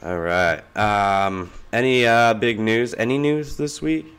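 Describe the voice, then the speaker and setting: A man's voice: lowish voice, slow, smooth. A man co-hosting a wrestling podcast, chatting playfully and teasing the others. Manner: playful teasing, lively delivery. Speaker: podcast co-host. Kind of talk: casual podcast chat.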